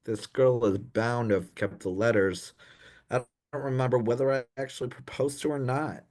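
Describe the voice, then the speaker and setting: An actor playing an anxious, dim young gentleman in a comic period radio play, dithering and apologetic in posh old-fashioned slang. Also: medium pitch, fairly even intonation, moderate pace, close to an everyday voice. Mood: fretful. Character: anxious, dim young upper-class Edwardian gentleman in trouble.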